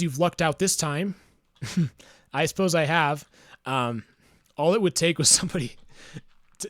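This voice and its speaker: A man chatting casually on a livestream, reading chat aloud in a smooth, soothing voice, musing and hesitant with fillers. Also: on the high side, a bit bright, no echo.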